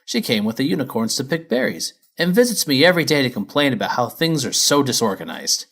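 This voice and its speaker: A man's voice: medium pitch, sing-song, a little fast, creaky, a bit bright, full character voice. A man voicing a lazy but good-hearted male dragon from a storybook in a plain, earnest manner. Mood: mildly grumbling. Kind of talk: storybook character voice.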